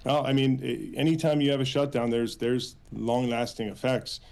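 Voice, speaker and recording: An American man with a mid-pitched voice, speaking as an official being interviewed on the news. He is earnest and halting, often repeating words as he builds a sentence. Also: flat delivery, vocal fry, darkish tone. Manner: halting, hesitant phrasing with frequent repeats, earnest. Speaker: union official, interview guest. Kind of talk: spoken news interview answers.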